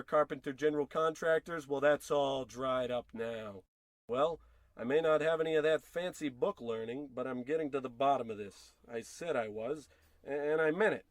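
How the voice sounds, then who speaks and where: A man's voice: medium pitch, dry recording, close to an everyday voice. A man playing a frustrated, self-pitying unemployed husband in an old-time radio comedy, wordy, sarcastic and theatrical. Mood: sulky, brooding. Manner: wordy, indignant, sarcastic rambling.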